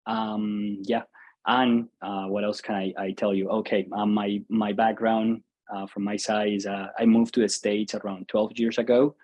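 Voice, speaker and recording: Colombian-accented man in his thirties, Spanish native, lowish voice, halting and filler-heavy interview guest. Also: little pitch movement, darkish tone. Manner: hesitant, many fillers and restarts. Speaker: guest, software entrepreneur. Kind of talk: casual interview answer.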